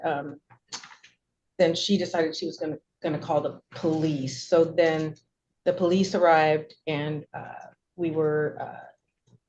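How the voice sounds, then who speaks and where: A woman's voice: sits low, darkish tone. A middle-aged woman giving courtroom testimony, hesitant and wordy, often correcting herself as she goes. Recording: dull, noisy courtroom audio.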